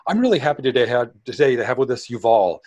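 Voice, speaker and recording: A man's voice: medium pitch, big pitch swings, quick pace, a little mellow, dry recording, lo-fi sound. A man hosting a podcast, reading a scripted introduction and then interviewing his guest eagerly, often restarting his sentences. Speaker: podcast host.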